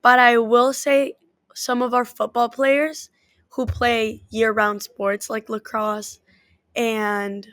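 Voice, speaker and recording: A woman's voice: a little high, flat delivery, slow, a bit bright, no room sound, studio quality. A woman whose first language is Spanish, an athletic trainer answering interview questions in an earnest, upbeat way.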